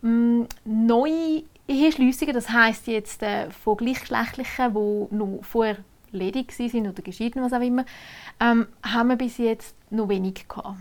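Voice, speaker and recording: A woman's voice: a little high, steady tone, moderate pace, a little mellow. Swiss German woman explaining procedures. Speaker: civil registrar. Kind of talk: casual interview answers. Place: Switzerland.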